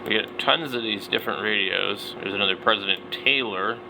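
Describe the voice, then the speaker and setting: Casting: middle-aged male hobby vlogger, lowish voice, blunt, off-the-cuff delivery, clearly noisy recording. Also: fairly quick. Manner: blunt, opinionated, mildly slangy. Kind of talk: casual, unscripted product browsing commentary.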